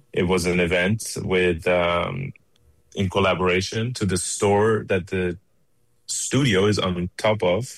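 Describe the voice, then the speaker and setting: Low-voiced man from Iran telling stories. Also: fairly bright tone, no echo, poor audio. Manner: unhurried, pausing between phrases. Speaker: interview guest, studio engineer. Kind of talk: conversational storytelling.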